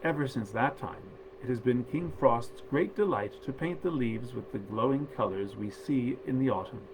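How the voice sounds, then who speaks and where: A man storyteller reading a literary tale aloud in a measured, theatrical and genteel manner. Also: mid-range voice, background noise.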